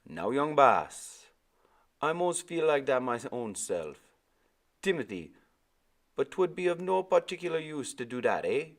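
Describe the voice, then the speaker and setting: A very old, powerful West Indian seaman played by a male reader in an adventure storybook. He speaks in a folksy, musical dialect and reassures gently. The pitch is lifted well above the reader's narrating voice. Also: sing-song, unhurried. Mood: gentle, soothing. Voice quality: rich, soft and musical.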